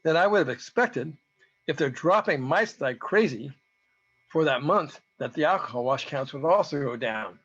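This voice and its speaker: A man with a hoarse voice gives an informal, halting technical presentation, explaining data as a researcher. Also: a little high, animated, a bit bright. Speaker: presenter, researcher giving a talk to beekeepers. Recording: dull, lo-fi audio.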